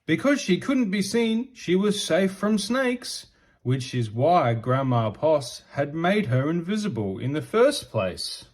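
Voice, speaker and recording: A man narrates a storybook for children. His fairly high voice moves expressively up and down, and he takes his time over each phrase. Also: very animated, takes it slowly. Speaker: storybook reader.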